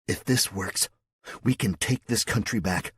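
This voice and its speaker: Male narrator doing a raised-pitch, bright-voiced woman rallying the group, storybook reading. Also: unhurried, breathy edge, no room sound. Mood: eager. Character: eager middle-aged woman resistance organizer.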